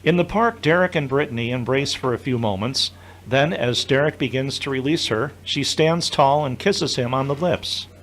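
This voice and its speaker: A man's voice: mid-range voice, normal speed, a bit bright, poor audio. A man narrating an audio drama from a script, describing each scene as storyteller.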